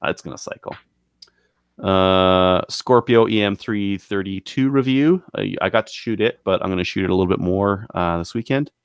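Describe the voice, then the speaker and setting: A man with a deep voice talks casually as a podcast co-host, recorded close and dry. He speaks haltingly, stopping to gather his thoughts as he goes. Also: smooth, no echo. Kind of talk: casual hobby podcast chat.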